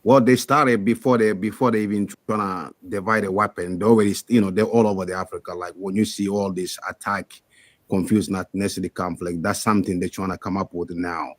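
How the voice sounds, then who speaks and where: A man's voice: low-pitched, fairly even intonation, brisk, no echo. A man on a live call-in discussion, rambling with loose, broken grammar. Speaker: call-in participant.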